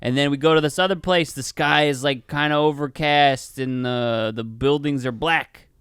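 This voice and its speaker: A man co-hosting a podcast in casual chat, opinionated and halting, often repeating himself as he goes. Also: fairly high pitch, steady tone, fairly bright tone, clear, resonant tone, dry recording, clean studio sound. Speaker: podcast co-host. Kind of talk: casual movie review chat.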